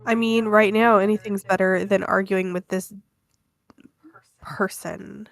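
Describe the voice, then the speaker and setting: A woman's voice: mid-range voice, fairly even intonation, unhurried, vocal fry. A woman playing a tabletop roleplaying game, chatting in character in a blunt, informal way. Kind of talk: casual in-character game chat.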